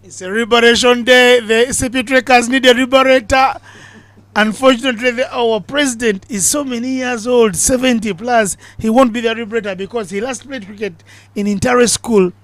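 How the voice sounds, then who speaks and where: A man commentating on cricket live from a commentary box, bantering and joking between balls and getting excited on big hits. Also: very high-pitched, moderate pace, no echo. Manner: chatty, jokey banter with big excited calls.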